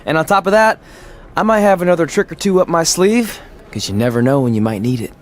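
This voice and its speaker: A man's voice: a little high, expressive, fast, lightly acted. A man playing a cocky, playful young pro wrestler talking casually and boastfully in a video game scene. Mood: confident.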